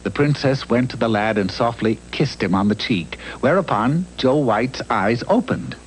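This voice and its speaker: A man's voice: medium pitch, animated, normal speed, slightly rough, rough recording. A man narrating a mock fairy-tale cartoon in a wry, theatrical storyteller's voice, on a hissy old soundtrack.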